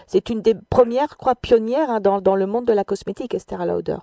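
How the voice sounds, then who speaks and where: A woman hosting a literary radio show, talking in a measured, chatty way with frequent hesitations. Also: medium pitch, creaky, mellow, no echo, studio quality. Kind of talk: radio book interview.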